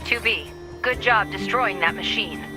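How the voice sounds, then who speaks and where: A woman playing a cool, authoritative military commander in a video game, giving a formal, measured briefing. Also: medium pitch, over music, close to an everyday voice. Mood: brisk, official. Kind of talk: video game cutscene acting.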